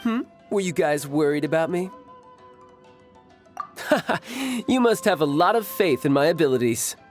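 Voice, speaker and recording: An actor voicing a cocky, battle-hungry young warrior who speaks in a smug, teasing way, in a game cutscene. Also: male voice, fairly high pitch, lively intonation, a little slow, music in the background.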